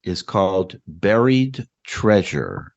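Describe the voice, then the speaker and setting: A man's voice: sits low, wildly swooping pitch, slow, creaky, a little mellow, lo-fi sound. A man giving a dramatic reading of his own short story as a podcast co-host.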